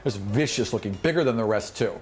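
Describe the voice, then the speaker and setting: A man acting as game master, improvising narration in a casual, halting, colloquial way. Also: on the low side, lively intonation, a little hiss or hum. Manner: halting, colloquial descriptions with spoken sound effects.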